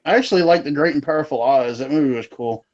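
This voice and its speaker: A man's voice: a little high, fast, a little mellow, lo-fi sound. A man rambling crudely in casual group chat, often restarting his sentences. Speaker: live chat co-host.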